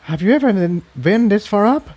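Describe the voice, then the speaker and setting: A man puts on a young female pony for a storybook, pitched far up. She is gentle and anxious, speaking with tender concern. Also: lively intonation, moderate pace. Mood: tender, reassuring.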